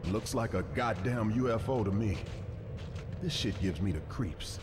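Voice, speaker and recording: An actor playing a cocky, trigger-happy soldier in a video game. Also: male voice, on the low side, a little slow, close to an everyday voice. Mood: uneasy. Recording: clear background storm noise.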